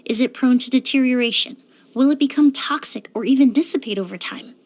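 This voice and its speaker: A woman playing a courteous, composed young woman in a video game, speaking politely and earnestly with careful, measured wording. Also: high voice. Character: poised, courteous young woman perfumer and forensic cleaner. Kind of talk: scripted video game character voice lines.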